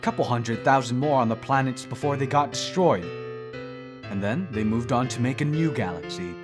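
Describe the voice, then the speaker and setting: A man's voice: medium pitch, normal speed, close to an everyday voice. A man playing a smug, teasing immortal thousands of years old in an audio drama, with a sardonic, needling manner. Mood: wry. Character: teasing three-thousand-year-old dream maker, smug male immortal. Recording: voice under plucked guitar music.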